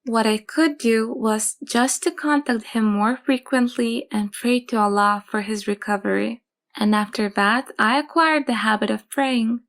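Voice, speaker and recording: A young woman, calmly telling her own story in a soft, measured way. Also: a little high. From Japan. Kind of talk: calm personal testimony.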